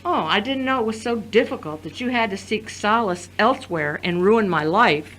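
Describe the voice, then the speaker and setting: A woman's voice: medium pitch, lively intonation, normal speed, a bit bright, a little hiss or hum, close to an everyday voice, poor audio. A woman playing a betrayed, resolute wife confronting her husband in an audio drama. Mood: hurt, reproachful. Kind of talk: scripted audio drama acting.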